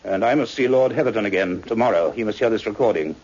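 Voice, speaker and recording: A man acting a thoughtful, learned scientist in an old radio science fiction drama, speaking in a grave, measured, scholarly way. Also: lowish voice, darkish tone, breathy edge. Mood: grave wonder. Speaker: lead actor and first-person narrator. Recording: muffled, dull old radio recording.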